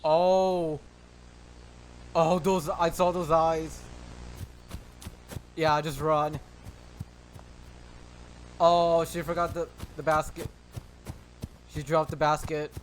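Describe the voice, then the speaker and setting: A man with a slightly high-pitched voice hosts a reaction video. He comments loosely and informally, dropping in short exclamations, over faint background noise. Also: level tone, very slow, long pauses, low-quality recording. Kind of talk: casual live reaction commentary.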